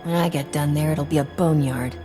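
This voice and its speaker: Game lead female actor: hard smuggler captain, very low-pitched, curt, threatening, reverberant. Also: fairly even intonation, brisk, lightly acted. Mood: contemptuous. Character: ruthless, surly female smuggler starship captain. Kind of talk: video game character dialogue. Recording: reverberant hall sound under music.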